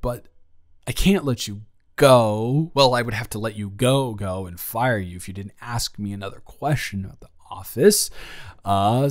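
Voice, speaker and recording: A man's voice: mid-range voice, lightly acted. Friendly, flirty office boss, played by a man. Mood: friendly, teasing. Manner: halting, conversational, with stammered restarts and chuckles. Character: friendly, flirty, nervous-excited male office boss welcoming a new intern. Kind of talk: improvised romantic audio roleplay, spoken to the listener.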